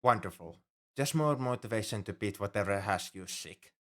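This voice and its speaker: A man's voice: lowish voice, lively intonation, moderate pace, a bit bright. A man playing an anxious, caring alien crewmate on a spaceship in an ASMR roleplay, speaking earnestly and hesitantly with slightly formal phrasing. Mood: friendly, hopeful. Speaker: ship crewmate tending a sick human.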